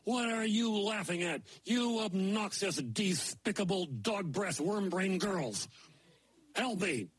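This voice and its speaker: A nasty little bearded dwarf yells petulant insults in a raised, husky voice, as a man puts him on. His voice climbs high when he is outraged. The sound is dry and close. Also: lively intonation, measured pace, no echo, heavily acted. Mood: angry.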